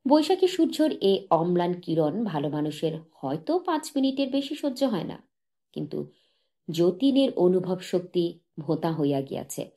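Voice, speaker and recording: A woman narrating a literary short story as an audiobook, in a measured, dramatic reading manner. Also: lowish voice, sing-song, dark tone.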